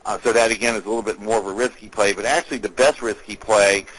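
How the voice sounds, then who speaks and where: Male analyst, lowish voice, measured and businesslike, talking through a briefing as call host. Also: fairly even intonation, fairly bright tone, slightly rough, rough recording. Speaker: market analyst hosting a conference call. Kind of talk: market commentary, semi-scripted briefing.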